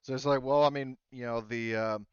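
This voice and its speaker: A man casually narrating a tabletop roleplaying game as game master, speaking haltingly as he searches for words. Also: mid-range voice, fairly even intonation, smooth, no room sound, highs cut off.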